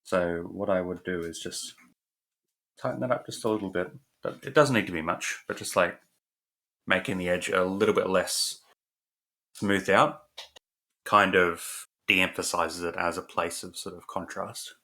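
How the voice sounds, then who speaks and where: A man's voice: low-pitched, fairly even intonation, measured pace, long pauses, sharp tone. A man from the UK, giving casual art critique on a stream in a loose, easygoing way. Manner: easygoing, hedging with "like" and "kind of".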